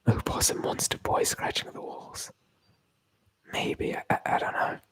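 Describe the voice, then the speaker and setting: A man's voice: moderate pace, fairly bright tone, no room sound. A man whispering through an ASMR livestream, rambling and joking as he reads viewer comments aloud. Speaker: ASMR streamer.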